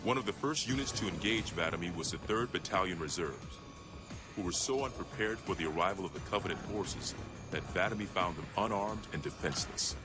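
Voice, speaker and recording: Low, grave military intelligence officer, acted by a man. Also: close to an everyday voice. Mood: grave, formal. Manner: measured, formal, grave. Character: stern military intelligence officer narrating an enemy dossier. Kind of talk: scripted dramatic briefing narration. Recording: game cutscene audio with music underneath. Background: music.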